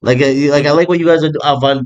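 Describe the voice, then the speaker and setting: A man's voice: on the high side, fairly even intonation, quick pace, lo-fi sound. A young man in casual, rambling talk, swearing freely, peppering every line with "like" and "bro" and dropping in Hebrew words. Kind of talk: casual chat.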